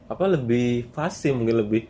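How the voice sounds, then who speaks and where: A man's voice: medium pitch, normal speed, dark tone. Hesitant young man with a Javanese accent. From Java. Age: young adult. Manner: hesitant, searching for words. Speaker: podcast co-host. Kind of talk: casual chat.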